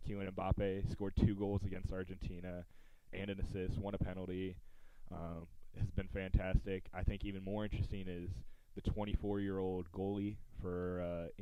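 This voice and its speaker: Male American podcast host, low and dark, casual sports chat, dry sound. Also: clear, resonant tone, no room sound, rough recording. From United States.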